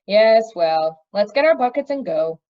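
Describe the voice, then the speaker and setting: A woman's voice: mid-range voice, animated, measured pace, a little mellow, muffled recording, natural voice. A woman voicing a harried, courteous king in a storybook, speaking in a formal, emphatic way. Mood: resigned, awkward. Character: courteous, harried young king, commanding yet resigned.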